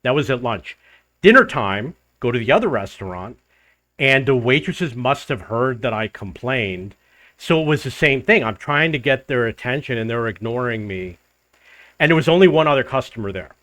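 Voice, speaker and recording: An older man, host of his own travel channel, telling a rambling personal story to the camera in a loud voice. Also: medium pitch, expressive, normal speed, no room sound. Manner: rambling, restarts and loops back in the story. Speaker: vlogger living abroad.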